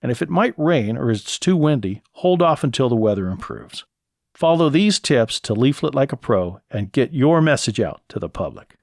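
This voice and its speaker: A man's voice: medium pitch, big pitch swings, moderate pace, vocal fry, dry recording. American guy doing a how-to podcast, explains stuff step by step, really dry close sound. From lives in California. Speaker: podcast host. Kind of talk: solo podcast talk, scripted instructional narration.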